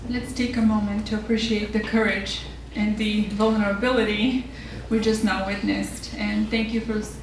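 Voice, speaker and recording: A woman's voice: mid-range voice, flat delivery, normal speed, hardly any pauses. A woman teacher introducing student speakers at an event, heartfelt and emotional, partly scripted and partly off the cuff. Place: large echoey room, on stage. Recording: echoey hall, distant mic, faint noise.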